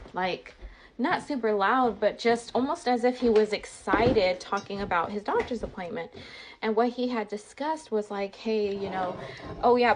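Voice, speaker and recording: An American woman telling a personal story casually, in a candid, rambling, exasperated way. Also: mid-range voice. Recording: clearly noisy recording with clicks.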